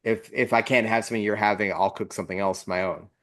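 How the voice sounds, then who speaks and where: A man co-hosting a podcast, explaining things calmly in full thoughts. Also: on the low side, fast talker. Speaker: podcast co-host. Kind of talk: casual podcast interview.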